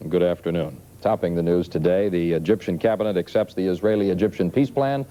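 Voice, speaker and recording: An American man reads the news as an anchor. His voice sits fairly low and has a mellow, dark tone. The delivery is a scripted studio newscast. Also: brisk. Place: television studio.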